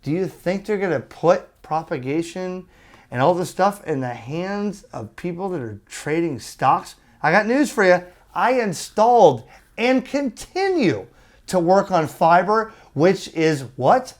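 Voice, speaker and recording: A man giving an opinionated rant as a video host, emphatic and argumentative, with rhetorical questions and pauses for effect. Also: on the high side. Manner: exasperated, argumentative. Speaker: amateur radio YouTube host.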